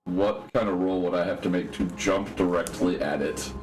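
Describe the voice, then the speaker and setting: Low-pitched man playing a tabletop game. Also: normal speed, a little mellow, low-quality recording. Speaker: player. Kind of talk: casual tabletop game talk. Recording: music clearly heard behind the voice. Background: background music.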